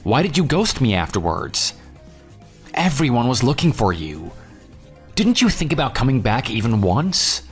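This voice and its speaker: A jilted, forgiving groom voiced by a man in an audio drama. His mid-range voice moves up and down in a lively way as he asks earnest questions, over background music. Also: mid-range voice, normal speed, lightly acted. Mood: confused, questioning. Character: jilted, forgiving ex-fiance, concerned but questioning. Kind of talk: radio drama dialogue.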